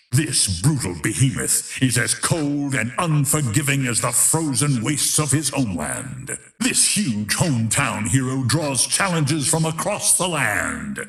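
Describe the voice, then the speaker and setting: A man playing a grandiose, menacing tournament announcer in a video game, narrating in a theatrical, emphatic, drawn-out style. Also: mid-range voice, creaky, a bit bright, echoey room, heavily acted. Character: grandiose, menacing male fighting-tournament announcer of epic legend. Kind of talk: dramatic scripted fighting-game narration.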